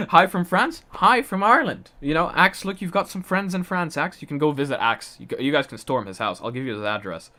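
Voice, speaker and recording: A man's voice: on the high side, animated, a little fast, pushed and bright. A young man from Poland chatting casually on a live stream, reading chat aloud and laughing often.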